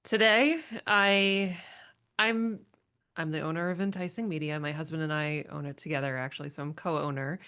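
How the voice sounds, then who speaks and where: Reflective woman in her forties, low voice. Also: fairly even intonation, moderate pace, sharp tone, smooth. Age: 40s. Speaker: podcast guest. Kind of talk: casual podcast interview.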